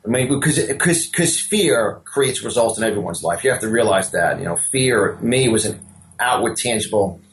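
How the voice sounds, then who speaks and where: A man's voice: fairly low pitch, lively intonation, moderate pace, a little hiss or hum. A man in his fifties with a New York accent, a business coach giving a guest interview in an emphatic, motivational way, repeating words and stammering a little. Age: 50s.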